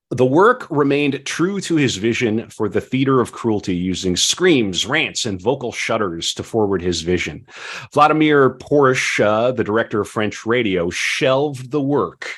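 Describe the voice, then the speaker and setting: A man hosting a podcast discussion, speaking with deliberate, emphatic phrasing and at times reading from prepared notes. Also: fairly low pitch. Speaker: podcast host.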